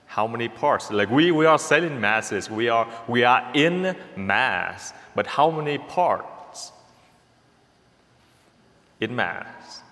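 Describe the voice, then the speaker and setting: Vietnamese-accented priest, male, slow homily delivery, mid pitch, long church reverb. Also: lively intonation. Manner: slow, repeats words, speaks to the congregation with a gentle "okay?". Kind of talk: sermon.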